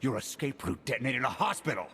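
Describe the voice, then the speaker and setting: An actor playing a proud, dutiful, stern army major in a video game, with gruff, clipped, formal delivery. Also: male voice, mid-range voice, sing-song, quick pace, fairly bright tone, a little hiss or hum. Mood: indignant. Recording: reverberant game hall, faint music.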